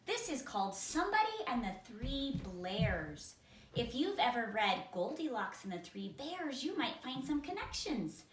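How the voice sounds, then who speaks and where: A woman reading a picture book aloud to children, expressive and deliberate, with simple asides. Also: sits high. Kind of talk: children's read-aloud narration. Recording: roomy, distant, noisy home recording.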